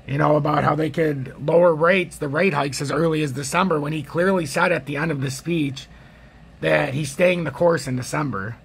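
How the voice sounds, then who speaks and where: A man with an American accent hosts a casual trading video. His voice sits a little high for a man, yet it is husky and full of gravelly fry. He rambles through chart commentary off the cuff and gives his opinions freely. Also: normal speed. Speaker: crypto trading video host.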